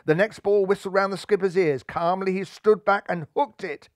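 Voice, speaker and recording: Man, high-pitched voice, theatrical storyteller, suspenseful scripted narration, dry close recording. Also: steady tone, normal speed, no room sound.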